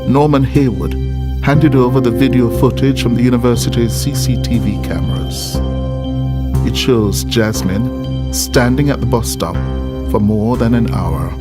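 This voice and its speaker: A man's voice: medium pitch, unhurried, over loud music. A man reading scripted narration for a television news documentary. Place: close-miked voice-over with music behind.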